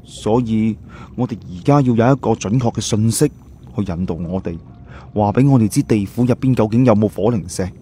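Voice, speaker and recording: A man's voice: lowish voice, fairly quick, dark tone, a little hiss or hum, lightly acted. A man playing an earnest, thoughtful young adventurer in an audiobook, explaining plans seriously. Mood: worried, urgent. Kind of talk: audiobook character acting.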